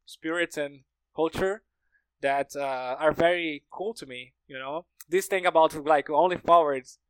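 Brazilian-accented man, native Portuguese speaker, slightly high pitch, dry recording, informal storyteller. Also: measured pace, smooth, dry recording, low-quality recording. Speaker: podcast speaker, language learner. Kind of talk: casual conversation.

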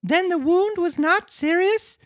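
A woman's voice: unhurried, no echo, clean studio sound. A woman voicing a dramatic young wooden boy puppet in a storybook reading. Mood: excited. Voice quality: squeaky. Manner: exclamatory, theatrical storybook delivery.

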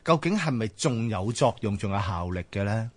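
Animated young Hong Kong man on radio. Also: fairly low pitch, normal speed, breathy edge. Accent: Hong Kong Cantonese. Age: young adult. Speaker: radio talk show co-host. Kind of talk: casual radio discussion.

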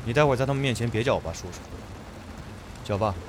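An actor playing a cold, irritable young older brother in a modern radio drama, blunt and scornful. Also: male voice, medium pitch, expressive, fast talker, close to an everyday voice. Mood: cold, irritated. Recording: clear rain-like background noise.

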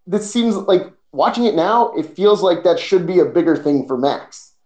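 Guy early 30s chatting on a podcast, kinda high voice, echoey room. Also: animated, moderate pace, a little mellow, lo-fi sound. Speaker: podcast co-host. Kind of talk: casual movie podcast chat.

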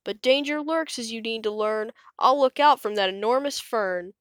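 A woman voicing a boastful little male dinosaur prankster with excited, shrill cries. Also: moderate pace, clear, resonant tone, no room sound, clean studio sound, lightly acted.

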